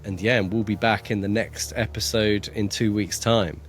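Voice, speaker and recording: A man's voice: fairly low pitch, fairly even intonation, moderate pace. A man co-hosting a book podcast in a casual, self-deprecating and slightly hesitant way. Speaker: podcast co-host.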